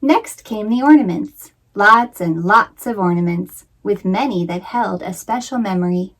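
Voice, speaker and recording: Lady doing storytime read-aloud for kids, expressive, medium pitch, plays up the suspense. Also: a little slow, low-quality recording. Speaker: children's author and librarian reading aloud.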